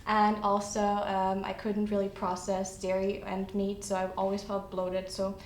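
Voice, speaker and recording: A woman's voice: monotone, a little slow. A woman in her twenties from Austria, talking casually as a podcast guest. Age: 20s. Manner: talkative, agreeing often. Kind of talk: casual chat. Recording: echoey room, faint rumble.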